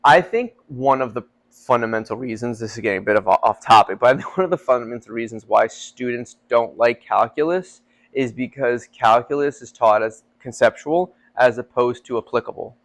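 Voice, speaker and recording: A man's voice: medium pitch, a little fast. A young man co-hosting a faith podcast, talking casually and rambling with lots of fillers. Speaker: podcast co-host. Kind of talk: casual chat.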